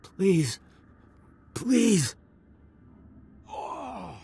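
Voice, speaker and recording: A man's voice: high-pitched, huge pitch swings, drawn-out words, gravelly, mellow, a little hiss or hum, exaggerated voice. A man playing a wounded, desperate spy in a video game, in pain and struggling to speak. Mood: pained pleading.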